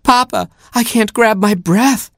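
A man's voice: high voice, measured pace, no room sound, clean studio sound, voice pushed up. A man voicing a whiny, dramatic young gerbil child in an animal storybook. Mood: panicked, dramatic. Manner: melodramatic complaining.

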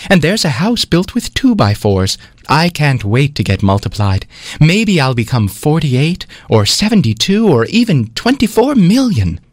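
A man doing a vain little female number, high-pitched and haughty, her voice swooping as she boasts. She sounds grand and full of herself, straight out of a children's storybook. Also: very animated, measured pace, no echo, studio quality. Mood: proud. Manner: haughty and gushing, high and bright. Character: proud, boastful female number twenty-four.

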